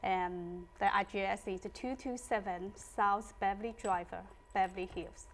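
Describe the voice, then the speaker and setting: A woman's voice: mid-range voice, fairly even intonation, measured pace, slight background noise. A young woman from Hong Kong introducing herself as a guest in an interview. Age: adult, described as young. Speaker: actress and businesswoman, talk show guest.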